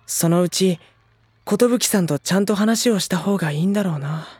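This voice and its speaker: An actor playing a gentle, polite teenage schoolboy who narrates and speaks in an audio drama, soft and reflective. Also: mid-range voice, normal speed, slightly rough, dry recording. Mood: tender, reflective.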